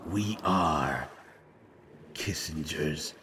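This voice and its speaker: A man voicing a fragmented monster in an audio drama, a menacing yet regretful servant who talks haltingly and ominously. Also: extremely low pitch, pushed and bright, a little hiss or hum, exaggerated voice. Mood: somber, ominous. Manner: slow, halting, ominous, speaks of himself as "we". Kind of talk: scripted roleplay audio drama.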